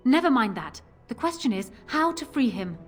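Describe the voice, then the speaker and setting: A calculating young queen in a fantasy game. Her voice is fairly high, formal and commanding, set over background music. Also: big pitch swings, normal speed, breathy edge. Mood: guarded concern.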